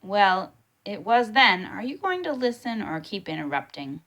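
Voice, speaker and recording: A woman's voice: mid-range voice, sing-song, moderate pace, fairly bright tone. Woman reading as a kindly storytelling grandfather. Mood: wry. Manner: storytelling, emphatic. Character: kindly old grandfather telling a childhood tale.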